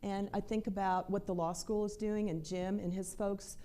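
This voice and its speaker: A woman's voice: medium pitch, level tone, moderate pace, dark tone. A woman, a university administrator, speaking earnestly and thoughtfully on a panel. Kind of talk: unscripted panel remarks.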